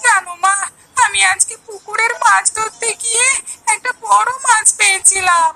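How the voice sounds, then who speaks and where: A woman's voice: very high voice, fairly even intonation, normal speed, gravelly, sharp tone, faint music behind, heavily acted. A woman playing a poor young village boy in a cartoon story, hurt and plaintive, with a tearful whine. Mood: tearful, aggrieved. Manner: whining, tearful. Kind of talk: Bengali animated moral story acting.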